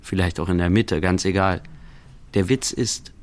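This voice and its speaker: Low-voiced man as reflective radio play narrator. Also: steady tone, moderate pace, close to an everyday voice. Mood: measured, reflective. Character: reflective storyteller commenting on the play, wry and measured. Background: quiet guitar music.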